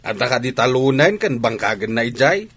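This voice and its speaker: A man playing a stern, stubborn farmer father with a gruff, scolding manner in a village comedy radio drama. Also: mid-range voice, very animated, fairly quick, fairly bright tone. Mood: scornful, stubborn.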